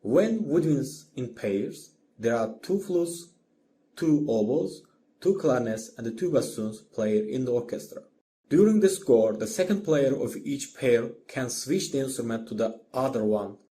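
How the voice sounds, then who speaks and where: A man's voice: mid-range voice, lively intonation, unhurried, dark tone, slight background noise. A man with an Azerbaijani accent narrates a scripted lecture as a teacher, methodically, in careful short phrases. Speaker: orchestration teacher.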